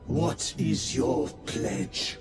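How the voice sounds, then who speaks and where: A grave male elder of a futuristic warrior order, speaking slowly and ceremonially. His measured video game delivery rings through an echoey chamber over music. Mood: grave, solemn. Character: solemn male space marine elder presiding over an oath. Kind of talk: cinematic video game dialogue.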